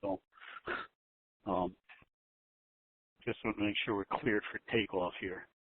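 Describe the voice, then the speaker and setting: A man's voice: lowish voice, steady tone, slow pace, slight background noise. A man speaking candidly and informally to a group on a conference call, repeating words and leaning on some with emphasis. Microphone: telephone. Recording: narrowband telephone conference line.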